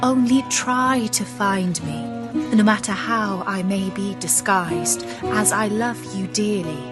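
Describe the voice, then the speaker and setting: A woman voicing a gentle, lovelorn young prince in a storybook, speaking slowly and pleadingly. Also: mid-range voice, over loud music, lightly acted. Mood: tender. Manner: slow, tender, pleading.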